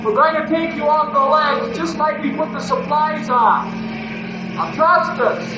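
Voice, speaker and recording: A man's voice: very high-pitched, a little slow, lo-fi sound. A man playing an eager, earnest young rescue sidekick in an old-time radio adventure. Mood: urgent, instructing. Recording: echoey, noisy old radio recording.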